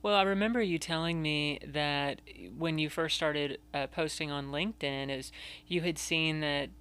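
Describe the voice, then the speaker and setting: Male host with a clean, resonant, somewhat high voice, affirming and chatty. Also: steady tone, clean tone. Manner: warmly affirming, quick to laugh along. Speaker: podcast host. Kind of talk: casual interview talk.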